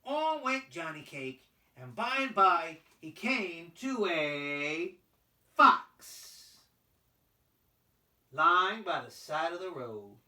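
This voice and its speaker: Bright, very animated storyteller. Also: mid-range voice, far from the mic. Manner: theatrical, deliberate phrasing for children. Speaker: puppet show storyteller. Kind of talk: animated scripted folktale narration. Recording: roomy, voice away from microphone.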